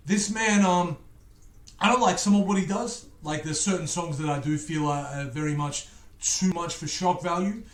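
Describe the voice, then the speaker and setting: A man from England with an Australian accent, talking to his viewers in a chatty reaction video. His voice sits fairly high for a man, and he rambles and jokes as he goes. The room around him rings with a lot of echo. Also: normal speed, fairly bright tone. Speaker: music reactor. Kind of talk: casual reaction video chat.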